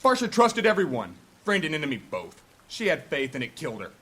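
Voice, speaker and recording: Bitter, hot-tempered fantasy warrior. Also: male voice, fairly high pitch, wildly swooping pitch, a little fast, pushed and bright, breathy edge, exaggerated voice. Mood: angry, bitter. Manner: scornful, argumentative. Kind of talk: dramatic fantasy audio drama acting.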